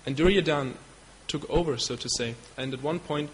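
A man from Germany with a German accent, a devotee giving a storytelling talk. He speaks haltingly and stops to search for English words. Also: fairly high pitch, a little hiss or hum. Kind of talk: storytelling lecture. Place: hall in Belgium. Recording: long hall reverb with faint ambience.

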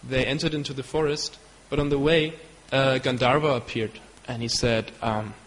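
A man from Germany with a German accent, a devotee giving a storytelling talk. He speaks haltingly and stops to search for English words. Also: medium pitch, fairly even intonation. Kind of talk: storytelling lecture. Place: hall in Belgium. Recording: long hall reverb with faint ambience.

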